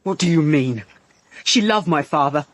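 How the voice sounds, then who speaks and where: A young man acting in a radio drama as a naive seventeen-year-old, defensive, halting and questioning. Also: fairly high pitch, animated, natural voice. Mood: anxious disbelief.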